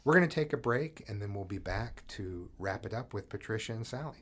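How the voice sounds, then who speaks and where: A man's voice: fairly low pitch, lively intonation, a little fast. A middle-aged man hosting a podcast interview in an upbeat, articulate, conversational way. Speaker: podcast host. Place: studio.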